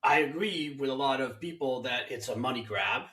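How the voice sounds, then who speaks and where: A man hosts a casual interview and shares his views at length. His voice is bright and forceful. The room around him is echoey. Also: mid-range voice, normal speed, distant mic. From Hungary. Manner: opinionated, talks at length. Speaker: host.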